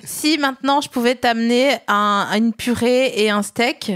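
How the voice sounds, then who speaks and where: A woman host with a fairly high voice keeps the chat light and playful. She tosses out absurd jokes and teases her guests in a relaxed, conversational way. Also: a little slow, clear, resonant tone. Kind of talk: casual chat.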